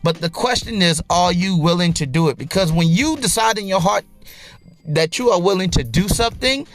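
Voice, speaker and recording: A man's voice: high-pitched, moderate pace, soft background music. A man in his late twenties hosting a casual motivational podcast, talking colloquially to listeners and repeating phrases for emphasis. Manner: colloquial, conversational address to listeners. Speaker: podcast host.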